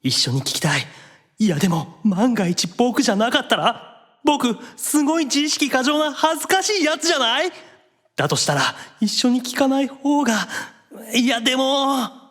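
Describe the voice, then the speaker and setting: A man playing an anxious, excitable otaku demon brother in an anime audio drama, dithering theatrically. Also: extremely high pitch, normal speed, exaggerated voice. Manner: dithering inner monologue with theatrical outbursts.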